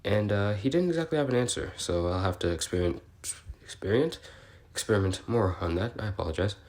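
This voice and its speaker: A man's voice: fairly low pitch, expressive, fast, darkish tone, lightly acted. A man playing an awkward, curious, bookish researcher recording an audio log, explaining in a hesitant, rambling, politely apologetic way. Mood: hesitant, explanatory. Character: male researcher logging species notes, awkward, curious, bookish. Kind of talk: in-character audio log, semi-improvised explaining.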